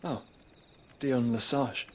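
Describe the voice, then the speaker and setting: Game role: ailing male duke, philosophical and weary, slow measured delivery, medium pitch. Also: fairly even intonation. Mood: wistful, measured. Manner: formal, measured, eloquent. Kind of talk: dramatic video game cutscene acting.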